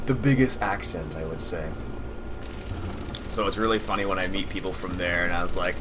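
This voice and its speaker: A Canadian man with a Canadian English accent giving casual, rambling commentary while playing a game, full of filler sounds and small chuckles. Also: on the low side. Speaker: let's play host. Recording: steady loud game engine noise underneath. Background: farm vehicle engine sounds from the game.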